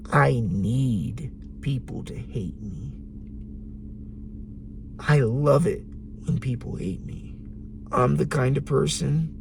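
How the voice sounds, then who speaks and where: A man with a raspy voice giving a slow, deliberate late-night monologue in a parked car, pausing for emphasis. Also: mid-range voice, background noise. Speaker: vlogger.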